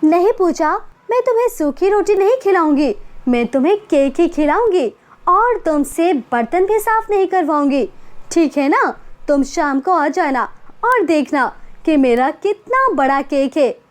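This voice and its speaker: A woman's voice: high-pitched, animated, measured pace. A woman voicing a poor, kind-hearted young college girl in an earnest, emotional storybook voice. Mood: defiant. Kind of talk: storybook character voice.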